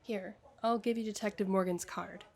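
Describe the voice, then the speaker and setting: A woman's voice: medium pitch, fairly even intonation, normal speed, creaky, darkish tone, lightly acted. A woman acting a courteous, helpful police desk sergeant in an audio drama. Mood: polite.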